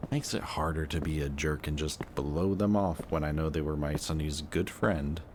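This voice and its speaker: A man playing a sardonic, gruff but protective antihero villain in an intense, emotional audio roleplay. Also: rumbling low, moderate pace, darkish tone, a little hiss or hum. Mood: grudging, weary.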